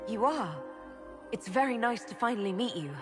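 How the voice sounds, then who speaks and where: A woman's voice: a little high, animated, normal speed, over music, natural voice. A woman playing a young elven mage heroine in a video game, direct and plain-spoken. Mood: respectful. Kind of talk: video game cutscene acting.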